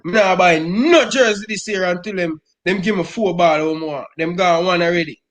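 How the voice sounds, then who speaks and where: High-voiced Jamaican man ranting. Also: moderate pace, a bit bright. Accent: Jamaican Patois. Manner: emphatic, repeats "brother" constantly. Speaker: football fan guest. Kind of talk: impassioned fan rant.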